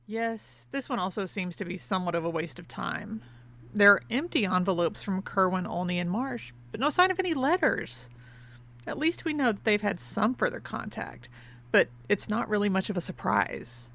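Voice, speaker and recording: A woman playing a composed, practical academic investigator in an audio drama, measured and matter-of-fact. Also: medium pitch, animated, a bit bright.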